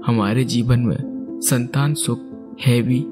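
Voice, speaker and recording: A man's voice: medium pitch, steady tone, slow pace, natural voice. An ageing king from a cartoon fable, acted by a man, formal and courteous with a worried dignity. His mid-range voice sits over prominent instrumental music. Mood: worried, pleading. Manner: formal, stately storybook delivery. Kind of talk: cartoon moral-story voice acting. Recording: music under the voice.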